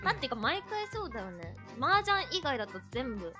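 A woman's voice: high voice, very animated, brisk. A woman with a cutesy, giggly manner hosting a casual livestream chat. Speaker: streamer, hosting a call-in guest. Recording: background music under the voice.

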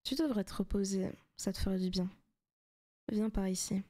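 Soft, teasing demon girlfriend acted by a woman. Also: mid-range voice, moderate pace, lightly acted. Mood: coaxing, teasing. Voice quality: soft, often breaking into whispers. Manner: teasing, coaxing, possessive. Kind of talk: intimate ASMR roleplay.

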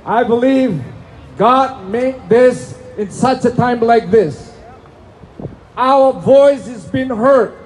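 A man's voice: extremely high pitch, takes it slowly, slight background noise. A man from Indonesia with an Indonesian accent, speaking broken English in a fervent, preacherly rally speech and prayer outdoors in a city plaza. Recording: phone video of an outdoor rally.

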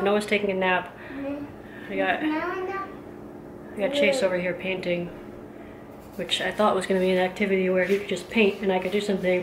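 Thirtyish woman rambling to her camera in the kitchen, lots of likes and ums, kinda echoey. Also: medium pitch. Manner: chatty, rambling with many fillers. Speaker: home vlogger. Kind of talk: casual cleaning vlog narration. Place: kitchen of a house. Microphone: handheld camera at arm's length.

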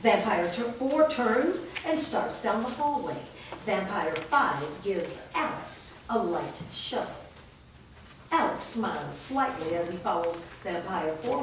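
A woman reads scripted stage directions in a measured, descriptive way. She sounds far from the microphone in a very echoey room, with faint noise behind her voice. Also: medium pitch. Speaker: narrator reading stage directions. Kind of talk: scripted narration at a staged reading. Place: large bare room with small audience, indoors. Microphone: distant camera microphone.

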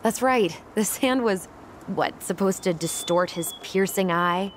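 A woman's voice: medium pitch, unhurried, a little hiss or hum, lightly acted. An actress playing a dry, guarded, teasing young American woman in naturalistic video game dialogue. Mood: reminiscing. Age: young adult.

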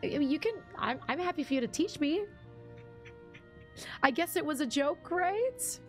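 Husky-voiced woman streamer chatting. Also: high voice, expressive, normal speed, soft background music. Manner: chatty, rambling, frequent restarts and fillers. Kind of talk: casual live-stream chat reading viewer comments.

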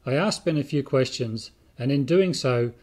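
A man in his fifties presents a scripted explainer. His voice sits at a medium pitch, and he reads as a host talking to his viewers. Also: normal speed, darkish tone. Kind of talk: scripted narration to camera. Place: indoor room with bookshelves.

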